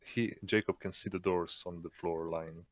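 A man narrating as game master of an online roleplaying game, with hesitant, halting delivery over voice chat. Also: low-pitched, steady tone, no echo. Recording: background noise on voice chat.

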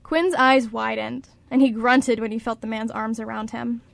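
Higher-pitched woman narrating, dry sound. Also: steady tone, moderate pace, vocal fry, a bit bright, dry recording. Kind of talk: scripted story narration for radio drama.

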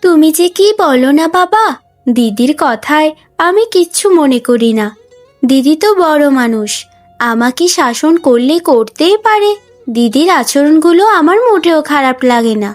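A woman's voice: high voice, animated, unhurried. A woman voicing a sweet, selfless younger daughter in a cartoon story, earnest and affectionate. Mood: earnest, devoted. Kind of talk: Bengali cartoon story dubbing. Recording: music bed under the voice.

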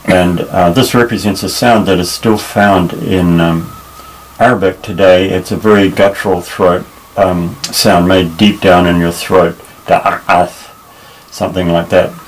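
A man teaching a Bible Hebrew lesson at a table, explaining patiently with many pauses and restarts. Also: sits low, steady tone, slight background noise, rough recording. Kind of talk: unscripted tabletop lesson, explaining while pointing. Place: indoors at a table.

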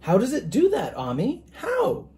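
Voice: mid-range voice, wildly swooping pitch, unhurried, background noise, voice pushed up. A storybook reader voicing a curious, eager young boy who asks wondering, pleading questions.

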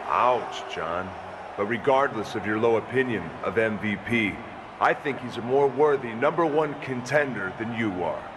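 An actor playing a smug, scheming wrestling villain in authority, taunting and deliberate in his delivery. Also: medium pitch, noisy background, natural voice. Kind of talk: wrestling promo acting.

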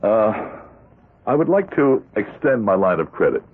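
An actor playing an evasive, buttoned-up accountant in a 1970s radio drama. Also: male voice, fairly low pitch, sing-song, a little slow, a little hiss or hum. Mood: hesitant, uneasy. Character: evasive, buttoned-up accountant leading a double life. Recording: thin lo-fi vintage radio recording.

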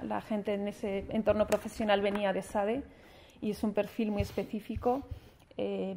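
A woman's voice: medium pitch, gravelly, a little mellow. A woman in her forties from Spain giving an earnest speech at a university ceremony, seated at a panel table in a large formal hall and speaking into a table microphone, with frequent restarts and fillers. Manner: gestures with her hands as she speaks. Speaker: honored alumna speaker.

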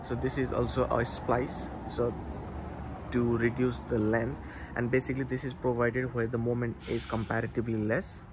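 A man tutoring in an explanatory walkthrough, with halting, instructional phrasing, recorded on a handheld phone inside an unfinished building. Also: mid-range voice, little pitch movement, noisy background. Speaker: civil engineering tutor. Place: inside unfinished steel warehouse. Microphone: handheld phone camera.

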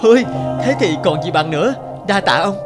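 A young travelling scholar in a cartoon fairy tale, polite, wary and put-upon. His expressive, fairly high voice rises and falls widely over loud music. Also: normal speed. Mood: polite, eager.

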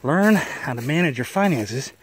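A man telling stories in a casual, folksy, unhurried vlog style with a wry manner, recorded outdoors on a handheld phone. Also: fairly high pitch, lively intonation, breathy edge. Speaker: vlogger, cable installer. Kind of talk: casual first-person storytelling vlog. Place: outdoors on a wooded trail.

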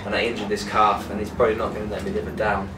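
Low-voiced man bantering backstage. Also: level tone, racing, echoey room, distant mic, background noise. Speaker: touring band member.

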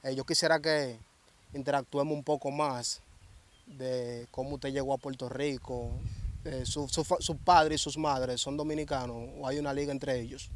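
A young man asking interview questions in a measured, earnest way, outdoors on a handheld mic. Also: medium pitch, fairly even intonation. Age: young adult. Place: outdoors under a tree in a grassy field.

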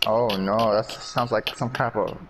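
A man's voice: fairly low pitch, steady tone, a little slow, loud music behind the voice, poor audio. A young man chatting with a friend over a game, making dry, teasing remarks. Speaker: gaming friend. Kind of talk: casual gaming chat.

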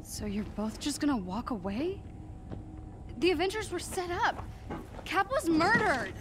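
An actress playing a young fugitive hero in a video game, earnest, plain-spoken and insistent. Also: female voice, sits high, big pitch swings, a little slow, background noise. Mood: indignant. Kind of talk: video game cinematic acting.

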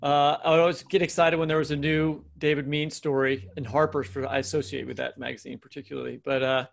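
Creaky, bright-voiced man co-hosting a podcast. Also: fairly high pitch. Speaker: podcast co-host. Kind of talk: conversational podcast hosting and interviewing.

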